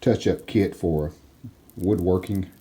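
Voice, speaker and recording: A man giving casual, plainspoken, unhurried narration of hands-on workshop work, like a DIY video host. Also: low-pitched, dark tone. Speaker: host of a restoration channel.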